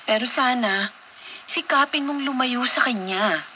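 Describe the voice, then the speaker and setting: An actress playing a worried, gently jealous young girlfriend in a Filipino radio drama, with a plaintive delivery. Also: a little high. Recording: noisy radio drama broadcast.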